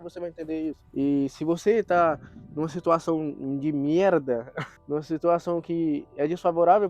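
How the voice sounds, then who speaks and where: A man's voice: a little high, a little mellow, dull audio. A young Brazilian man co-hosting a casual reflective chat, talking earnestly and sometimes trailing off as he searches for words. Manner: earnest, acts out others' thoughts in quotes, trails off searching for words. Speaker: co-host.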